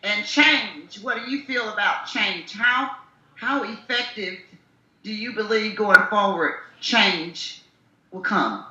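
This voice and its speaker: A man's voice: sits high, slow, sharp tone, reverberant, lo-fi sound, a little hiss or hum. A man hosting a talk radio interview, enthusiastic and affirming toward his guests. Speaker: host.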